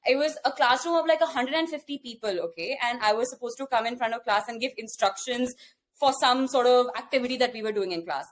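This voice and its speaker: A woman's voice: a little high, fast. A young woman with an Indian accent, co-hosting a casual podcast and telling a story animatedly with frequent restarts. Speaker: podcast co-host.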